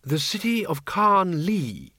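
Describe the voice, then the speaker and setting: Guy doing a mild old undead wizard, soft and musing, bouncy pitch. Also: very animated, takes it slowly, no echo, clean studio sound, full character voice. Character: elderly dead male wizard, mild and thoughtful.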